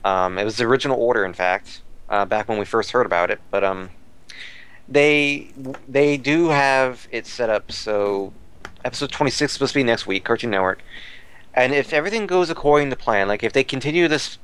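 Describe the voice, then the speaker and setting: A man with a clean, smooth voice at an ordinary middle pitch chats as a podcast commentator. He rambles through fan news, circling back over his phrases as he looks for the next word. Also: a little fast, fairly bright tone, dry recording. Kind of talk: casual fan news discussion.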